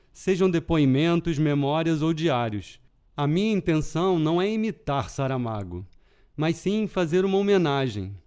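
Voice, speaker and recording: Male podcast host, Carioca accent with a European Portuguese lilt, fairly high voice, deliberate scripted reading. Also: darkish tone, smooth. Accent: Rio de Janeiro Portuguese with European Portuguese touches. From Brazil. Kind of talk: scripted literary podcast narration.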